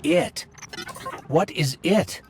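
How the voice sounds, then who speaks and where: A man's voice: high-pitched, huge pitch swings, extremely slow, gravelly, background noise, exaggerated voice. A man playing an anxious, earnest little robot companion in a video game, with a worried, urgent way of talking.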